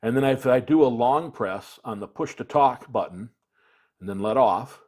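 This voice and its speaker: A man's voice: medium pitch, normal speed, a little mellow. A man giving a casual hands-on product demonstration, explaining things plainly and step by step. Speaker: product reviewer, video presenter.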